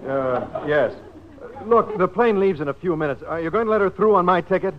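A man's voice: high voice, lively intonation, brisk. An actor playing a bashful, flustered man posing as a husband, hesitant and stammering, in a vintage radio comedy. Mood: flustered, urgent. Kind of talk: 1950s radio comedy acting. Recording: noisy muffled old radio recording.

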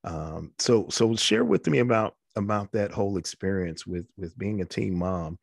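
Male talk show host with a gravelly, slightly low voice and a halting, repetitive delivery. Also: animated, dry recording, clean studio sound. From New York. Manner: halting, repeats words while talking. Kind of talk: faith-based interview talk.

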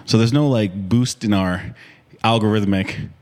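A young man with a somewhat low voice, loose and casual. He swears freely and throws in quick jokey asides while chatting with a friend from a chair in a small home studio. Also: darkish tone. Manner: laid-back, swears a lot, quick asides. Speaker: podcast co-host. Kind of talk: casual chat. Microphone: desk mic, seated.

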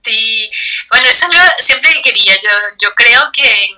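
A woman from Venezuela, an entrepreneur, answering interview questions in a soft, hesitant way, searching for words. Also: on the high side, moderate pace, poor audio. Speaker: guest entrepreneur.

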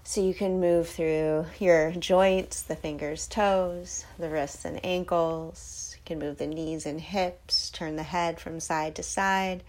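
A woman hosting a guided sleep meditation, giving soothing instructions with breathy phrases that often trail off toward a whisper. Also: fairly low pitch, steady tone, slow, hardly any pauses, a little mellow.

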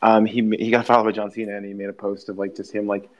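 A man co-hosting a casual online chat show, talking loosely, swearing freely and jumping into excited quoted voices. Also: fairly low pitch, quick pace, lo-fi sound. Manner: animated, swears freely, puts on excited voices when quoting. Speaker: podcast co-host.

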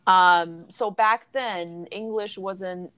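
A woman in her thirties from China, a guest English teacher, talking in an unhurried, thoughtful way with frequent fillers. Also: mid-range voice, steady tone, fairly bright tone, clear, resonant tone. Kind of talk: casual interview chat.

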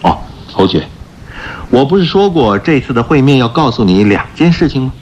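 An actor playing a confident, playful gentleman-thief detective in a radio drama, explaining his deductions theatrically. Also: mid-range voice, sing-song, normal speed, slight background noise, natural voice. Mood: courteous, revealing. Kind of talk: Mandarin radio drama acting. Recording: dull radio recording with background music.

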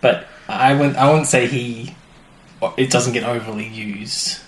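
A man's voice: medium pitch, fairly even intonation, measured pace. Casual male podcast co-host in an echoey room. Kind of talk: casual banter recapping a TV show. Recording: roomy echo, faint background noise.

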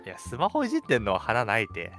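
A man's voice: lowish voice, huge pitch swings, fast talker, sharp tone. A young man co-hosting a casual radio chat, bantering and playfully teasing his partner. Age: young adult. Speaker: radio show co-host. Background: background music.

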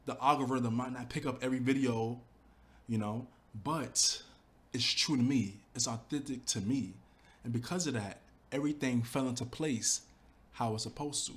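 A man's voice: medium pitch, normal speed. A young adult man, a YouTuber, talking casually and earnestly about building his channel, with lots of "you know" and quoted thoughts. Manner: earnest, animated storytelling with fillers like "you know". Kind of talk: casual reflective monologue.

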